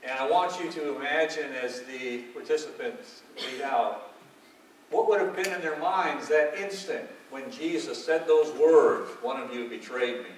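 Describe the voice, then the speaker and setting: Male narrator for a scripture drama, slow and solemn, heard in a heavily echoing hall. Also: medium pitch, slight background noise. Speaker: narrator introducing a church drama. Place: large reverberant indoor hall before an audience. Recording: very echoey hall, distant mic.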